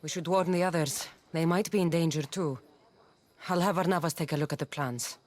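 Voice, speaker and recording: A woman's voice: low-pitched, fairly even intonation, a little fast, darkish tone, lightly acted. An actress playing a confident, wry Greek warrior woman in a video game, with a firm, decisive delivery and a Greek accent. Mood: resolute. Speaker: player character. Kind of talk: cinematic game dialogue.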